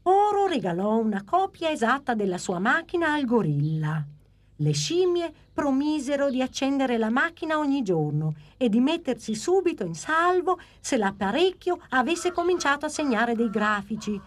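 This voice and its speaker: A woman narrating a children's cartoon story in a gentle storytelling manner. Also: sits high, sing-song, moderate pace.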